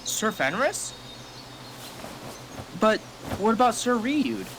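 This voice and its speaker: A man voicing an earnest, blunt young squire boy in an animated fan dub. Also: sits high, takes it slowly, noisy background, exaggerated voice. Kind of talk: fan dub character acting. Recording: loud music under the voice.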